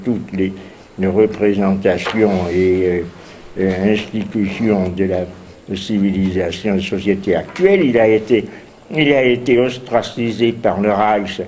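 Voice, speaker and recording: Older guy with a bad cold introducing a seminar, slow and full of ums, faint hiss behind. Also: fairly low pitch, darkish tone. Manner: halting, many fillers and restarts. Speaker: seminar host. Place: university seminar room.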